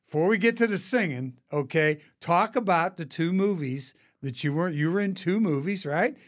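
An American man in his sixties hosting a casual podcast interview, chummy and quick to chime in with agreement. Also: high voice, lively intonation. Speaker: podcast host. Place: small podcast studio. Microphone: close studio mic on a boom arm.

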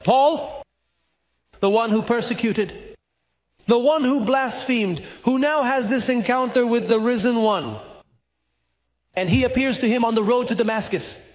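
A middle-aged man preaching a sermon on a studio stage into a handheld mic, animated and acting out dialogue, at times rising to a shout. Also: very high voice, measured pace, long pauses. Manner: animated, gesturing, acts out dialogue, rises to shouts.

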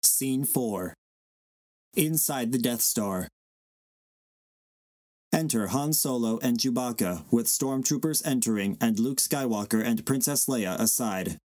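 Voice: medium pitch, a little slow, pauses often, darkish tone, no room sound. A man reading out stage directions as scripted narration for a dramatic reading.

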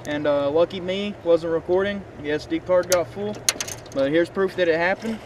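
A man's voice: sits high, normal speed. Young man on a noisy action camera. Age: young adult. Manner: joking, rambling, laid-back. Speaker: fishing vlogger. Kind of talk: casual vlog chat. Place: outdoors on a river bank and boat. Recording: outdoor action-camera noise.

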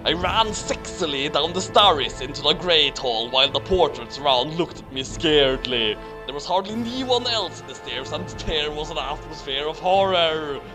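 A man's voice: a little high, expressive, moderate pace, hardly any pauses, low-quality recording. A man narrating fan fiction in a theatrical, mock-serious reading. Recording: music behind the voice. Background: orchestral film music.

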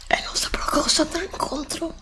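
A woman playing an earnest, bewildered chosen heroine in an ASMR roleplay, breathy and questioning, close to the microphone. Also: sits high, steady tone, fairly quick, bright, forceful tone, voice pushed up. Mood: bewildered, anxious. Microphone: close desktop USB microphone.

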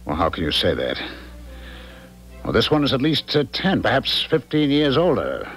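An actor in a 1970s radio drama playing an evasive, worried husband who speaks hesitantly and stammers. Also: male voice, mid-range voice, huge pitch swings, normal speed, a bit bright. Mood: concerned, exasperated. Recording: 1970s radio broadcast, music behind.

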